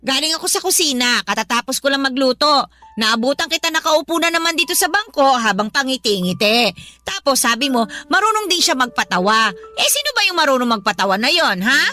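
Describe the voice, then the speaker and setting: A woman's voice: high voice, lively intonation, fairly quick, pushed and bright, faint music behind. A woman playing a hostile, suspicious older mother-in-law in a Tagalog radio drama, blunt and nagging, with a Filipino accent.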